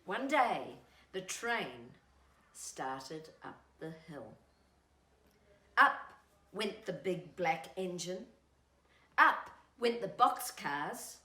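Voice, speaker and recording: A woman hosting storytime, speaking in an animated, singsong way to young children as she reads a picture book. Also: fairly low pitch, extremely slow, long pauses, roomy sound. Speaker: storytime host and children's author.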